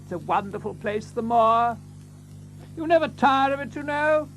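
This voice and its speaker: Excitable, high-voiced male naturalist. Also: moderate pace, soft background music, heavily acted, poor audio. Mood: eager, fascinated. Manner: effusive, fussy, repeating himself. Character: eager, excitable male naturalist, chatty moorland neighbour. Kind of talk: radio drama acting.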